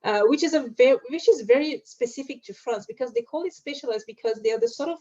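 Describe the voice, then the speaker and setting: A woman from Cameroon in her thirties, a guest in an interview, talking through her career with many pauses, fillers and restarts. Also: high-pitched, mellow, highs cut off. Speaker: guest, strategy analyst. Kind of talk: interview answers, recounting career.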